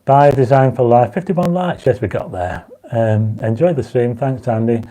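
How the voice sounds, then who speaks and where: A man hosting a casual livestream, reading out viewer comments and pushing for likes, his mouth dry and his voice going croaky. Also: mid-range voice, normal speed, mellow. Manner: reads viewer comments aloud, urges likes; enthusiastic when explaining stars. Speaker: livestream host. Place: home astronomy studio.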